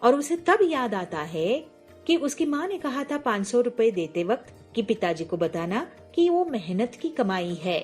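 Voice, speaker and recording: A woman's voice: fairly high pitch, very animated, a little slow, a little mellow. A woman narrating a scripted moral story. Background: faint music.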